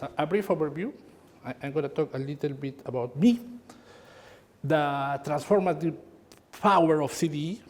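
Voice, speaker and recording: A middle-aged Peruvian man with a Spanish accent gives a university lecture, talking in halting phrases that repeat words, with friendly asides. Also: on the high side, a little mellow. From Peru. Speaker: guest lecturer. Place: lecture hall. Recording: hall reverb.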